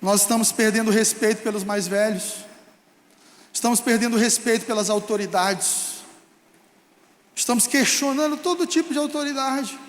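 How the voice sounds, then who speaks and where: High-voiced Brazilian pastor in echoey hall. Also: male voice, moderate pace. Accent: Brazilian Portuguese. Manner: fervent preaching, rising to shouts. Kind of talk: sermon. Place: church hall. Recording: echoey hall with long reverb.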